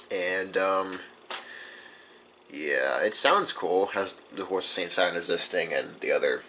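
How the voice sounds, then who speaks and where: A man's voice: sits low, pushed and bright. A man giving a casual, unscripted show-and-tell as a collector, rambling informally and correcting himself as he goes. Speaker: radio collector presenting his collection. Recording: faint background noise.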